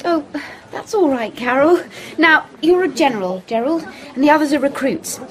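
A woman's voice: high voice, animated, normal speed. An actress playing a spirited, outspoken young woman in a period radio drama. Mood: bossy.

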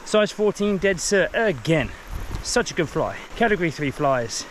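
A man in his forties with a New Zealand accent, talking excitedly to an action camera while fly fishing outdoors by a forest river. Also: on the high side, moderate pace, low-quality recording. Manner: enthusiastic, exclaims often. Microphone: action camera, chest or handheld. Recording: outdoor river recording with clear background noise. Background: flowing river.

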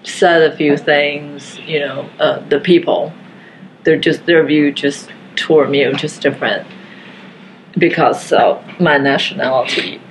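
A woman from Taiwan, speaking haltingly and earnestly as a guest in a casual living-room discussion. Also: low voice, steady tone, a little hiss or hum, low-quality recording. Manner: slow, halting, earnest, frequent fillers. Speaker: podcast guest.

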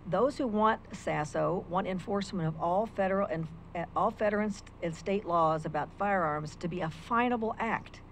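A woman's voice: on the low side, moderate pace, slight background noise, poor audio. An older woman reading a prepared legal argument as public comment at a county meeting, speaking into a stand microphone. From United States. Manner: formal, argumentative, reads quotes from legal texts. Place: county meeting room, indoors. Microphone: stand microphone at a lectern.

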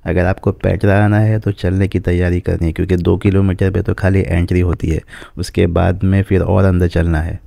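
Man, travel vlog narration, outdoors, dark gravelly voice, steady tone. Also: low voice, fairly quick, few pauses, no echo. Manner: explains sights to viewers as he walks. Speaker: travel vlogger. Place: outdoors at a desert rock site.

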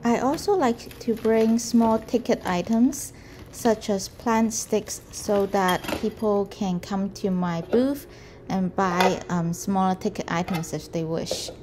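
A woman in her thirties, a potter, calmly explaining her market packing in a gentle vlog narration. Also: medium pitch, noisy background. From Canada. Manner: slow, gentle, careful delivery. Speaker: potter vlogger. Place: home room indoors. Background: background music.

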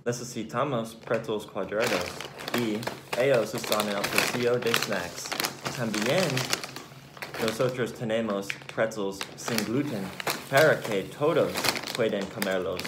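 A young man with an American accent and English as his first language, co-hosting a cooking vlog in deliberate learner's Spanish. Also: lowish voice. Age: young adult. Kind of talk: rehearsed recipe narration. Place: indoors, home kitchen and dining room. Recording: echoey home kitchen, loud background noise.

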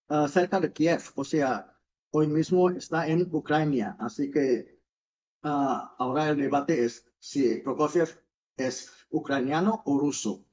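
Man, forties, Indonesian accent, non-native speaker, halting delivery full of fillers, dull lo-fi home webcam audio. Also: on the high side, mellow. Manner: halting, many filler sounds, gestures while speaking. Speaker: orchestra founder and artistic director. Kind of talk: addressing viewers in a recorded video message. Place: home music room with piano. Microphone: webcam or laptop mic at desk distance.

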